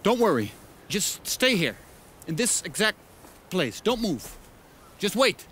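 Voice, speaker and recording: A smooth-talking salesman. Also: high-pitched, very animated, slow, bright, forceful tone, a little hiss or hum, heavily acted. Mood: persuasive. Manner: coaxing, theatrical, salesy. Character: smooth-talking male salesman, eager and persuasive. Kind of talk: animated film voice acting.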